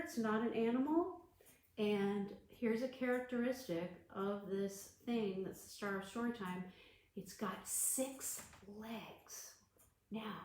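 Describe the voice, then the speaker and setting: A woman librarian reading a storybook aloud to children on a livestream, gentle and encouraging. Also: on the high side, slight background noise. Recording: echoey room.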